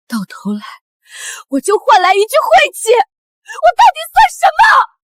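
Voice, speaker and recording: An actress playing a wronged eighteen-year-old daughter, bitter and proud, in a melodramatic short drama. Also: female voice, very high-pitched, wildly swooping pitch, measured pace, dry recording, clean studio sound, full character voice. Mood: indignant, hurt. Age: young adult.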